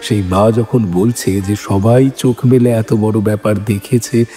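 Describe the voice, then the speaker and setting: A man narrates a literary story in a fairly low, warm and dark-toned voice. His delivery is measured and scripted. Light music runs underneath. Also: steady tone. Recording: music bed behind the voice.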